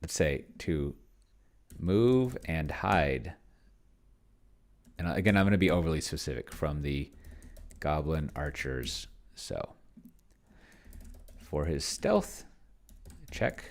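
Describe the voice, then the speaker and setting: A man hosting a solo tabletop roleplaying session, thinking aloud casually in a halting, musing way and often trailing off mid-thought. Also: very deep voice, lively intonation, vocal fry, a little mellow.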